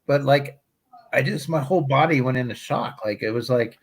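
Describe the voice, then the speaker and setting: A man's voice: mid-range voice, moderate pace, slightly rough. Man, about fifty, mid-range voice, rambling, loose casual talk. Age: 50s. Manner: rambling, loose, full of "like" and "you know". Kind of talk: casual group chat.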